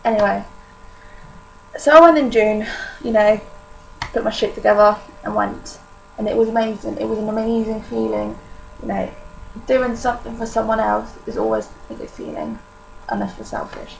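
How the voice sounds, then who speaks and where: An eighteen-year-old Polish-accented woman speaks candidly to her camera, her voice pitched a little high and heavily gravelly with vocal fry. She talks informally with plenty of fillers. A faint hum of noise sits behind her. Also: expressive, normal speed, a little mellow, poor audio. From Poland. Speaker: vlogger. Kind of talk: personal vlog confession.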